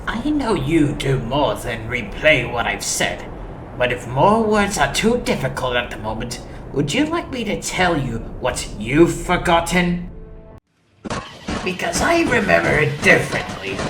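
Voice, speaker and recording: A woman's voice: low voice, very animated, unhurried, over music, exaggerated voice. A woman playing a proud, theatrical, loyal robot second-in-command, eloquent and dramatic, in a comic dub with a roomy echo. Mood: scornful.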